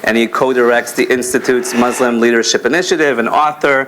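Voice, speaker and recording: A man's voice: medium pitch, steady tone, fairly quick, fairly bright tone, slight background noise. A man raised in Israel moderating a conference panel in a chatty, self-deprecating way, with many fillers. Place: conference hall.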